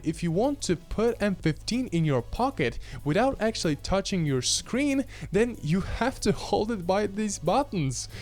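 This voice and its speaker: Animated young man, higher-pitched. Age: 20s. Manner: animated, blunt, slangy. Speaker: gadget reviewer. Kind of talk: casual video product review. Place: small home room studio, indoors. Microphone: handheld microphone held close.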